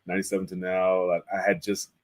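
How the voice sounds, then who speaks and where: A man's voice: deep voice, completely flat delivery, fast. A man in his thirties speaking as an interview guest, telling rambling, enthusiastic anecdotes in a casual way. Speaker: guest artist and art teacher.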